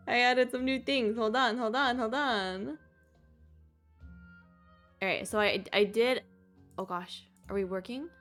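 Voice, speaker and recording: Female game streamer, slightly high-pitched, casual and giggly, easily flustered, soft music behind. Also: fairly even intonation, slow, long pauses. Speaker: game livestreamer. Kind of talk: casual livestream chat and game commentary.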